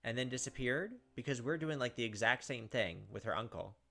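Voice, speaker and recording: A man's voice: mid-range voice, lively intonation, fairly quick, gravelly, fairly bright tone. A young man doing casual game commentary and reading story narration aloud. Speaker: gaming video host.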